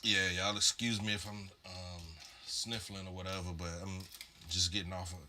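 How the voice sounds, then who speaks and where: A man's voice: sits low, little pitch movement, moderate pace, bright, forceful tone. A man from Virginia chatting casually on a live stream, rambling and slightly congested from a cold. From Virginia, USA. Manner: laid-back, rambling, many fillers and "man". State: congested, getting over a cold. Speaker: livestream host building modular synth patches.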